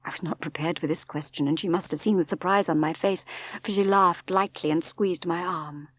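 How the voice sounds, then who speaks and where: A woman's voice: fairly low pitch, steady tone, a little fast, no echo. A woman with an English accent reading a novel aloud as dramatic literary narration. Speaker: audiobook narrator.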